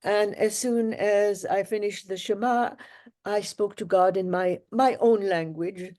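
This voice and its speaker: Older woman recounting memories, mellow voice. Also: medium pitch, steady tone. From Germany. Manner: reflective, measured, with pauses. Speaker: guest, Holocaust survivor. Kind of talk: interview storytelling.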